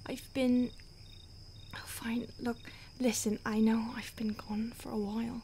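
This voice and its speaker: A woman playing an ancient, secretive vampire in a whispered roleplay ASMR, hushed and halting with deliberate pauses. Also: on the high side, little pitch movement. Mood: wry, hesitant. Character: ancient female vampire, secretive, urgent, weary, protective. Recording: faint hiss, outdoor night insects.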